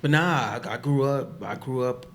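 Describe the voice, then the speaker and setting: A man from the Bronx, hoarse from losing his voice, telling stories in a casual, slangy conversation as a podcast guest. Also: medium pitch, normal speed, a little hiss or hum. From the Bronx, New York. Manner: relaxed, slangy storytelling with many fillers.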